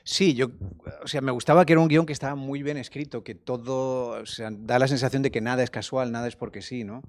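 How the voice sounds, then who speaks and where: A man of middle pitch speaking in a calm, reflective way, building long answers in a press interview about a film. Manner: measured, reflective, explains at length. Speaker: actor.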